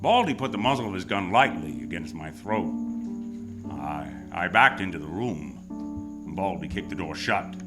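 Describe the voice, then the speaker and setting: A man's voice: deep voice, expressive, moderate pace, loud music behind the voice. An actor with an American accent playing a tough, wisecracking hardboiled hero who tells his story in a noir radio drama, wry and terse. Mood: tense narration. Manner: wry, terse, world-weary. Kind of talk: first-person noir radio drama acting.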